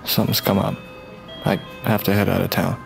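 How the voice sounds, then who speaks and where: Audio drama: evasive, bitter young male ex-con, low-pitched, blunt delivery, heavy music underneath. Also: flat delivery, a little fast, creaky. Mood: evasive, strained. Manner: terse, sardonic, swearing. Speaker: actor in a scripted audio fiction podcast. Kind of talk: naturalistic audio drama acting. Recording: background music, vehicle noise behind.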